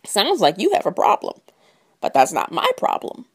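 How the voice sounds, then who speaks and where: Storybook chicken, voiced by a woman: squawking, husky, brusque, dismissive, lively swings in pitch. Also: moderate pace. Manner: squawks before curt replies. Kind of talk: children's storytelling.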